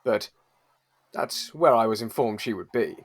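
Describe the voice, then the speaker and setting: A man playing a hospital radiologist in an improvised audio drama, giving a halting, deliberate monologue. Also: lowish voice, expressive. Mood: musing. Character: male hospital radiologist, narrating a wry monologue.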